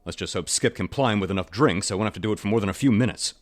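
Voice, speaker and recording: An actor playing a jealous, insecure, self-important agent with a huffy, melodramatic manner in a comedy audio drama. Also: male voice, on the low side, rapid-fire, close to an everyday voice. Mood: martyred resolve.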